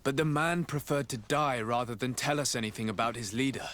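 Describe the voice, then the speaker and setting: A man's voice: mid-range voice, a little fast, a bit bright, a little hiss or hum, lightly acted. A man acting as a stern emissary of a merchant state in a video game, speaking formally and with measure. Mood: grave, formal.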